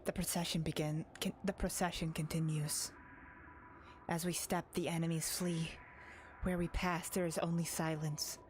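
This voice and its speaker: A woman's voice: deep voice, level tone, normal speed, slight background noise. A woman reading dramatic first-person narration from a visual novel as a voice-over. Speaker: voice-over reader.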